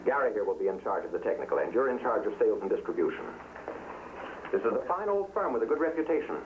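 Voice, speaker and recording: Exacting, declamatory front-company boss, 1950s TV drama. Lowish, very animated voice. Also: male voice, quick pace. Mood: smug, commanding. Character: suave, exacting male boss of a communist front film company. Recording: noisy old 1950s TV soundtrack.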